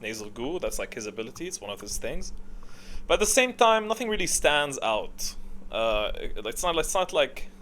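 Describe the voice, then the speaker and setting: A man's voice: medium pitch, very animated, moderate pace, fairly bright tone, a little hiss or hum. A man hosting a gaming tier list in a casual, rambling way, hesitating and correcting himself as he goes. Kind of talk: casual, rambling opinion commentary.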